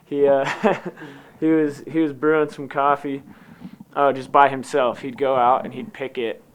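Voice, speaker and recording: A man's voice: medium pitch, level tone, a little slow, a little hiss or hum. An American man telling a personal story in a casual, unscripted and loosely rambling way, as if to an interviewer. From Utah, United States. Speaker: coffee company founder telling its story.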